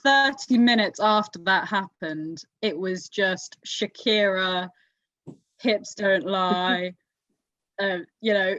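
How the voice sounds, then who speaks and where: A woman's voice: medium pitch, slow, fairly bright tone. A young woman hosting a podcast in casual chat, on a dull, roomy lo-fi recording. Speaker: motor racing podcast host.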